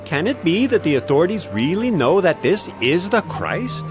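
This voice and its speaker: An excitable townsman in a Bible drama. His voice is high and leaps about with wonder, over clearly heard music. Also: normal speed. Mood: agitated, wondering. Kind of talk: dramatized audio Bible acting.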